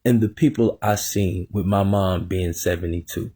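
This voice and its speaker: A man in his thirties with a very deep, husky voice. He hosts a live podcast interview, animated and laughing often. His tone stays mellow and dark. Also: moderate pace. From Plainview, Texas. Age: 30s. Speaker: podcast host.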